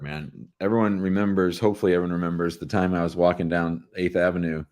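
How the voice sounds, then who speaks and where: A man's voice: low voice, a little mellow. A man with an American accent hosting a live call-in show, chatting casually with callers and viewers.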